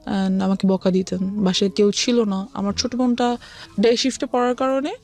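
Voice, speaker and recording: A woman's voice: mid-range voice, fairly even intonation, normal speed, a little mellow. A woman with a Bangladeshi accent, an interview guest telling a personal story and often switching between Bengali and English.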